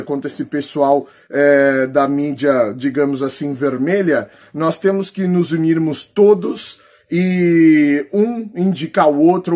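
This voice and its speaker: Husky Brazilian man, fairly high voice. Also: a little mellow. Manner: colloquial, opinionated, emphatic. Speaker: political YouTuber. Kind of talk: vlog commentary, reading posts aloud. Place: small plain room indoors.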